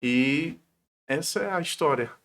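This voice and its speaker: A man's voice: fairly high pitch, expressive, measured pace, a bit bright. A man of about forty, a pastor speaking devoutly as an interview guest, often adding short tag questions. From Paraíba, northeastern Brazil. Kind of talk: devout personal testimony in a conversational interview.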